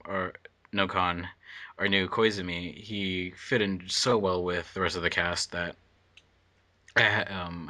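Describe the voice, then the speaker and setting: A man's voice: low voice, sharp tone. A man chatting casually as an editor on a commentary track, rambling and circling back over his points. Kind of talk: casual group commentary chat.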